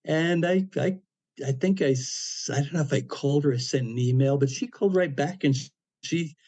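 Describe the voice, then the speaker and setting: A man around seventy, a podcast guest, telling a personal story in a relaxed, anecdotal way with frequent "you know" fillers and dry humor. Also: fairly high pitch, moderate pace. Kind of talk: casual storytelling interview.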